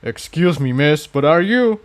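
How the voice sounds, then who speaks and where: A man's voice: fairly high pitch, animated, a little slow, dry recording, voice pushed up. A man playing a polite, hesitant male pegasus blacksmith in a fantasy story reading. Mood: polite, apologetic.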